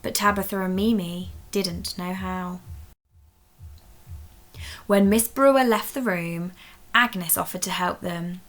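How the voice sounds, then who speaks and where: A woman reading a children's story aloud, expressive and dramatic, speaking directly to young listeners. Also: on the low side, slow, long pauses. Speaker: story reader at a primary school.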